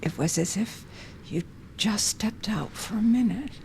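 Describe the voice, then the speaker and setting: A woman playing a moody, guilt-ridden, fragile widow in an audio drama, halting and hushed, often slipping into whispers. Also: medium pitch, animated, creaky, a little hiss or hum. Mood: wistful, subdued.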